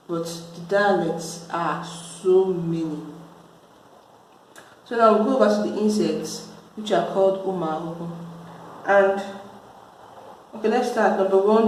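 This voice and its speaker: A middle-aged woman from Nsukka with a Nigerian Igbo accent, teaching vocabulary and repeating each word slowly and deliberately. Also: mid-range voice. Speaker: language teacher. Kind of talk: slow vocabulary lesson with repetition. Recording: very echoey room.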